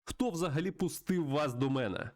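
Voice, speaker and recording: A man's voice: fairly high pitch, expressive, moderate pace, darkish tone, pitched above the performer's own voice, close to an everyday voice. A man playing a contemptuous, irate film studio boss in a game, berating and scornful. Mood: scornful outrage.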